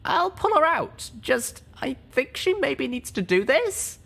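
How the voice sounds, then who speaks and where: A man voicing an earnest, anxious young female unicorn pony who speaks in halting, hesitant bursts. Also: extremely high pitch, big pitch swings, vocal fry, sharp tone, voice pushed up, exaggerated voice. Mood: worried.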